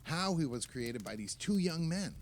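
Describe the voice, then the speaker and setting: An actor playing an opinionated, nostalgic film writer, talking emphatically and at length among friends in a naturalistic audio play. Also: male voice, on the high side, sing-song, normal speed, slight background noise. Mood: storytelling, proud.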